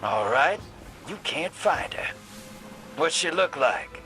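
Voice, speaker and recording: Shady streetwise drifter for a game: teasing folksy slang, animated pitch, takes his time. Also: slow, faint music behind, exaggerated voice. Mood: sly, teasing. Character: shady, streetwise, sardonic male drifter rogue. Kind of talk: video game voice acting.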